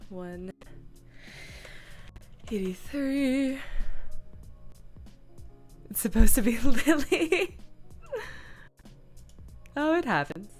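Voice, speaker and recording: A woman's voice: high-pitched, lively intonation, extremely slow, long pauses, soft background music. A woman streamer chatting casually as she works, rambling and thinking aloud. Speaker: live streamer; comic dub director. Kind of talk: casual livestream chat while working.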